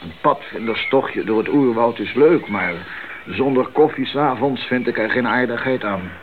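An actor playing an enthusiastic, optimistic doctor who leads a jungle expedition in a Dutch radio drama. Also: male voice, medium pitch, normal speed, natural voice. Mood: cheerful. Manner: breezy, optimistic. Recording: tinny, noisy old radio play recording.

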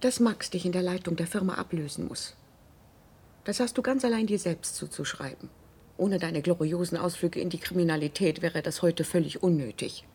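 A woman's voice: lowish voice, normal speed, a little mellow, husky. An actress in a German radio drama playing a cool, calculating middle-aged wife and mother, controlled and pointed in delivery. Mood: stern, reasoning.

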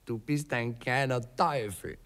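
An actor playing a polite, affected count in a radio play, halting, courteous and evasive, with an Austrian accent. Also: male voice, medium pitch, a bit bright, a little hiss or hum, close to an everyday voice. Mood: hesitant, evasive. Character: hesitant, polite, affected male aristocrat, a count. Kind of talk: intimate radio play acting.

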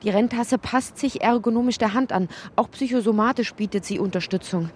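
A woman playing a whimsical narrator in a literary radio play, with a husky voice. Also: a little high, a little fast, a little hiss or hum, lightly acted. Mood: brisk, animated.

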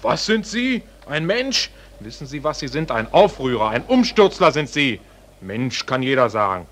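An actor playing a gruff, bossy border policeman in a 1940s German radio play, curt, pedantic and blustering. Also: medium pitch, sing-song, moderate pace, fairly bright tone. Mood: scolding. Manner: curt, blustering, stammers when challenged. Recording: old radio recording with background noise.

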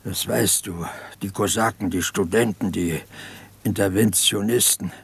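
An actor in a 1950s German radio drama playing a guilt-ridden peasant partisan, brooding and insistent. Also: male voice, on the low side, steady tone, measured pace, gravelly, husky, exaggerated voice. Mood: brooding, confessing. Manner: brooding, insistent confession, swinging from muttering to agitated outbursts. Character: haunted, guilt-ridden peasant partisan soldier, family man.

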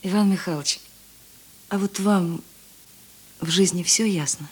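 A woman acting a sensitive, self-doubting theatre actress in a Russian radio play, breathy and hesitant. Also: fairly low pitch, a little slow. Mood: gentle, curious. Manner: soft, hesitant, emotional.

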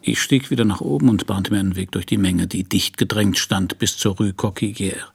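A man playing a world-weary private detective who narrates his case in a hardboiled radio crime play, dry and laconic, with a husky, gravelly voice. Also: on the low side, a little fast, music in the background. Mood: wry, brooding. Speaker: narrator and lead actor.

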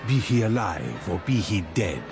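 Deep, creaky, ominous giant. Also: male voice, sing-song, music in the background. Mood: menacing. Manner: slow, ominous intoning. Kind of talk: radio drama acting.

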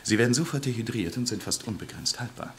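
A man's voice: medium pitch, lively intonation, fast, close to an everyday voice. An actor playing a matter-of-fact male scientist in a radio play, giving instructions in a clinical manner. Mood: clinical, instructive. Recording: noisy, with mechanical device sounds.

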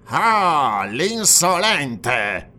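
Man acting a sharp, high-voiced commanding inquisitor. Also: big pitch swings, a little slow, creaky, heavily acted. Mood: indignant. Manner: clipped, demanding. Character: sharp, haughty male police inquisitor, commanding and impatient. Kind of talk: dramatized fantasy audiobook dialogue.

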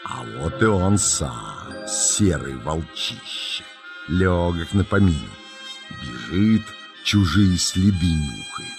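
A man's voice: sits low, expressive, slow, creaky, music in the background. A man narrating a children's nature tale in a folksy, dramatic storytelling style, savouring his words.

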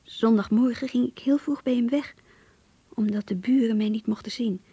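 An actress playing a shy, anxious young woman in love, reading her diary in a radio drama in an intimate, hesitant, breathy voice. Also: fairly high pitch, fairly even intonation, moderate pace, warm tone, no echo, dull audio. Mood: tender, yearning. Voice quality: husky, breathy, half-whispered.

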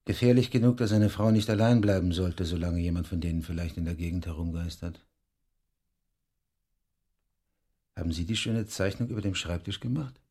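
An actor playing a rural police detective in a German radio drama, by turns dryly flirtatious and businesslike. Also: male voice, low-pitched, fast talker, warm tone, husky. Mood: matter-of-fact, warning. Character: flirtatious rural police detective, brusque boss, narrator of the tale.

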